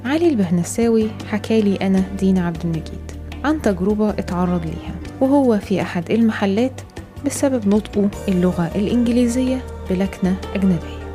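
Brisk Egyptian woman narrating a feature. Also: medium pitch, over music. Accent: Egyptian Arabic. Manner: clear, steady reading. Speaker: radio presenter.

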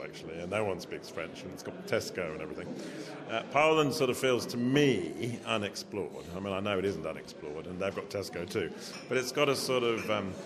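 A middle-aged man with a lowish voice chats casually with plenty of fillers, telling rambling anecdotes. Behind him a conference crowd murmurs clearly. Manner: chatty, anecdotal, many fillers. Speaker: interviewee. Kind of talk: casual chat.

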